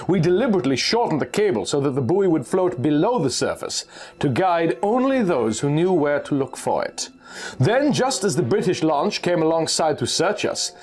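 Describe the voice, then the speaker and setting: A narrator, a man, puts on a shrewd, confident Austrian ex-soldier from a pulp adventure. He speaks deliberately and conspiratorially, pitched a little high with lively swings, over faint music. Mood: confiding. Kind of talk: dramatic reading of pulp magazine dialogue.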